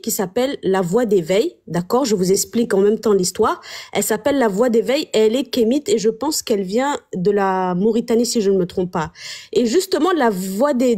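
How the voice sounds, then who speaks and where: A woman hosting a live stream, commenting on clips and putting rhetorical questions to her viewers in an animated way. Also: fairly high pitch.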